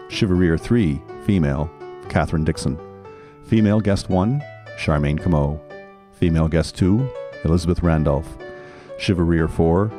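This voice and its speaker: A man reading scripted storytelling narration as the narrator of a historical drama. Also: medium pitch.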